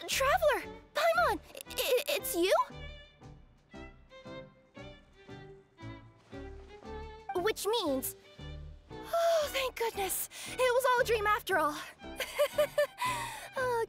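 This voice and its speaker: A woman voicing a young, sweet, easily flustered cat-spirit girl in a video game, expressive and hesitant. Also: very high voice, heavily acted. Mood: startled, relieved. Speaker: game character voice. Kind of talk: animated game voice acting.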